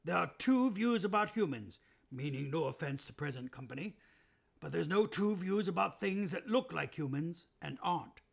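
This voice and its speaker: A proud, kindly male beaver from a storybook, put on by a male reader. The voice is rough and strained, with a husky gravel to it. It rises high and swings widely whenever he insists on a point. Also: expressive, normal speed. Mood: earnest, emphatic.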